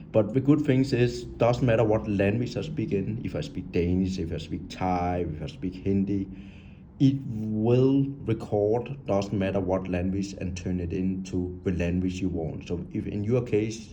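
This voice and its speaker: Male app demo presenter: low pitch, very mellow, halting, talkative, loose non-native grammar. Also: slight background noise. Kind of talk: unscripted screen-recorded app walkthrough.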